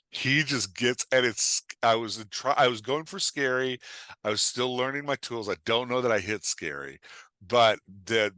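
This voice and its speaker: Bright, emphatic man talking shop. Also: medium pitch, no room sound. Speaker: author and writing coach, podcast guest. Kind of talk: animated conversational explanation and storytelling.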